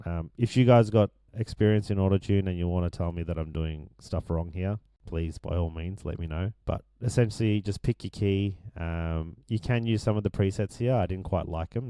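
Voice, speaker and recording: A man with a deep voice that is going hoarse, casually walking through his vocal mix with many ums and restarts. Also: warm tone, no room sound. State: losing his voice. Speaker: music producer explaining his mix. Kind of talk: casual screen-share tutorial.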